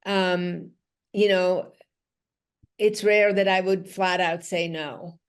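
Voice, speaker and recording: A woman's voice: fairly low pitch, fairly even intonation, slow pace, smooth. A middle-aged woman from Switzerland, whose first language is Dutch, speaks as a physical therapist guest in a conversational interview. She talks earnestly, restarts her phrases and says "you know" often. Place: home, in Switzerland.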